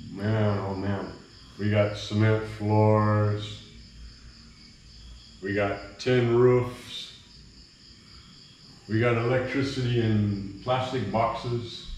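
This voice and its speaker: A man's voice: fairly low pitch, steady tone, drawn-out words, pauses often. A middle-aged man chatting casually to viewers while he makes coffee in a small kitchen, rambling and easygoing. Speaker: vlogger. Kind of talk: casual cooking vlog chat. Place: small bare cement-walled kitchen. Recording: echoey room, faint background noise. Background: crickets at night.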